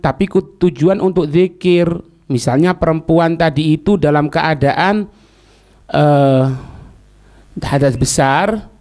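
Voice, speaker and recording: Slow-talking man teaching religion. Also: on the high side, darkish tone. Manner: deliberate, halting phrases with pauses. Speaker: Islamic teacher. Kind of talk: religious lesson, reading Arabic text and explaining it phrase by phrase.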